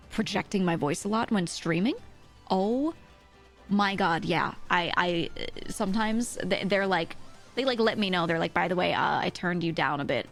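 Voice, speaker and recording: A woman livestream host with a thin voice, chatting casually and animatedly to her viewers in a rambling, giggly way, close on a streaming mic. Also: lowish voice. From US East Coast. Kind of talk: casual livestream chat, reading and answering viewers' comments. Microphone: close streaming microphone. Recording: music playing behind the voice. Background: background music.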